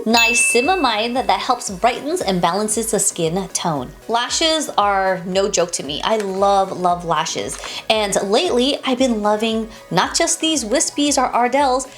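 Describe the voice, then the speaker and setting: Young woman, nasal, congested, chatty beauty vlogger, upbeat, faint music behind. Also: mid-range voice. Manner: chatty, upbeat, repeats and restarts phrases. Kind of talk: casual product review to camera.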